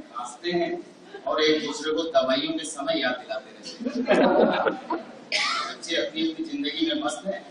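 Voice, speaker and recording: A man's voice: on the high side, slight background noise. An older man on an amateur stage reading letters aloud to an old friend, wistful and playful in turn, in a large auditorium. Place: large auditorium, no sound system. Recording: echoey auditorium from far off.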